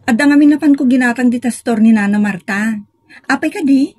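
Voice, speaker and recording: An actress with a northern Philippine accent playing an inquisitive, protective daughter in an Ilocano comedy drama. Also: female voice, sits high, a little fast, a little mellow, no echo, studio quality. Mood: curious, surprised. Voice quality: husky. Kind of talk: Ilocano comedy radio-style drama acting.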